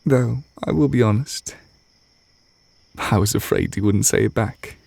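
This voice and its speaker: A man voicing a tender, reserved witch teacher in a romantic ASMR roleplay, speaking softly and hesitantly, close to the mic with crickets behind. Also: lowish voice, gravelly, dry recording, exaggerated voice. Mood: loving, earnest. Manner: soft, intimate, hesitant, gently measured. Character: tender, reserved male witch teacher confessing love to partner. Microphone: close microphone.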